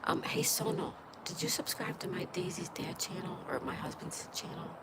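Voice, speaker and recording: A woman's voice: on the low side, huge pitch swings, moderate pace. A woman hosting a casual livestream, with a stuffed-up nose, greeting viewers by name and reading their chat aloud. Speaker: livestream host. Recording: loud background noise.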